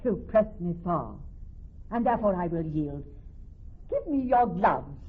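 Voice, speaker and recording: A woman's voice: fairly low pitch, very animated, unhurried, husky, exaggerated voice. An actress playing a composed, witty woman posing as a young male lawyer, in formal, articulate Shakespearean radio drama style. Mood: playfully insistent. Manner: formal, articulate verse delivery. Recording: dull muffled old radio recording, faint music.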